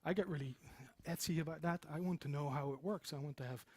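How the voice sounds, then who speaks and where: Guy presenting at a tech talk, middle aged, highish voice, emphatic, close dry sound. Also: fast talker, darkish tone, husky, no room sound. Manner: emphatic, repeats phrases for stress. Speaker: conference speaker, software developer. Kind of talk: informal conference presentation. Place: meeting room with seated audience, indoors.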